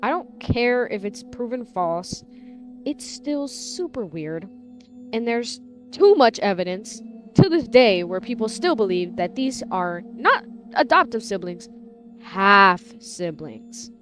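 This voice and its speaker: A woman's voice: a little high, big pitch swings, takes it slowly, a bit bright. A woman giving casual video commentary in an informal, opinionated way. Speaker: YouTube commentator. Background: music behind the voice.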